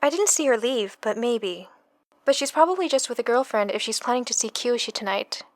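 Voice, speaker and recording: An actress playing a brisk, guarded young woman, heard through a telephone receiver in an audio book. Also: fairly high pitch, expressive, dry recording. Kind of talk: audio book character acting.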